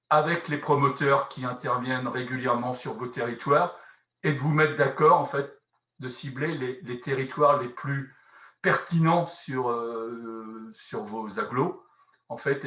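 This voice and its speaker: A man with a mid-pitched voice explains something as a conference speaker. He works through it haltingly, half improvised, rephrasing as he goes and adding small tag words. The sound is noticeably roomy and echoey. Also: a bit bright. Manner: halting, rephrases often, frequent "hein" tags. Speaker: conference speaker presenting a housing policy experiment. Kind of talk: explanatory presentation, semi-improvised.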